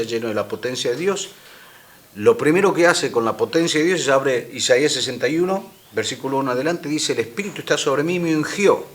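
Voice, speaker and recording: A man with an Argentine accent, a guest speaker, explaining his teaching in an earnest way in a studio interview. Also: medium pitch. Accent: Argentine Spanish. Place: radio studio.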